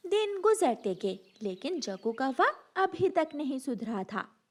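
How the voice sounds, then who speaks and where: High-pitched woman narrating a children's story. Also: sing-song, unhurried.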